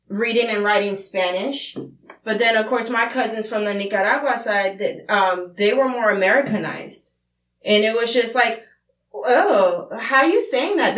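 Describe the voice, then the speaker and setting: A woman in her thirties speaks bluntly and with passion, her voice set a little high. She sits back from the microphone in a roomy space, so the sound carries an echo. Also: fairly bright tone, recorded from a distance, slight background noise. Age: 30s. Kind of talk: candid group discussion. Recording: roomy sound, away from the mic.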